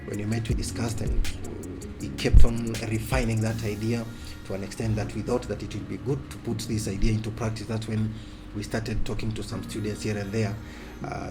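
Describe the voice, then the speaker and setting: A Kenyan man explains as a mentor in an interview. His voice is fairly low and very even in pitch, with loud music behind it.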